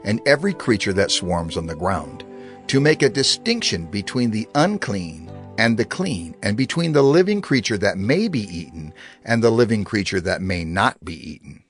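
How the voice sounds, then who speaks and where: A man narrating scripture in a dramatized recording, reading in a measured, expressive way. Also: fairly low pitch. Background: music bed.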